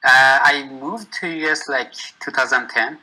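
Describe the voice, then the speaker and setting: Bright-voiced man. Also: mid-range voice, low-quality recording. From Iran. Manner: friendly, lists tech with short pauses. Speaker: software engineer. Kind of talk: casual networking chat. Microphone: video call.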